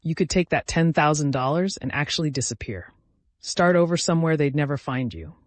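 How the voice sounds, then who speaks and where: A man's voice: a little high, lively intonation, a little fast, dry recording, close to an everyday voice. A man voicing a worried, frank friend who pleads and cautions in a dramatic audio story. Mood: pleading.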